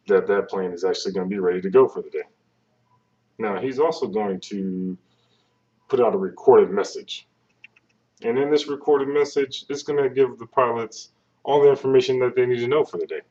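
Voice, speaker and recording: Low, mellow man on a distant webcam mic. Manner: plain-spoken, conversational, explains step by step. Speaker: air traffic control presenter. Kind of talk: informative explainer speech. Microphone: webcam microphone at some distance. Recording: distant, dull webcam microphone sound.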